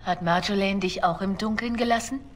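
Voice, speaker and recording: A woman's voice: mid-range voice, fairly quick, lightly acted. An actress voicing a young female spy in a video game, earnest and questioning, loyal but worried. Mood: uneasy, earnest. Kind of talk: dramatic video game dialogue.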